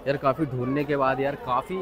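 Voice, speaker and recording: Young man vlogging in loud crowds. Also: medium pitch, level tone, fast. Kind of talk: casual vlog chat to viewers. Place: crowded outdoor street market. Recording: loud outdoor market crowd noise. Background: bustling crowd and street sounds.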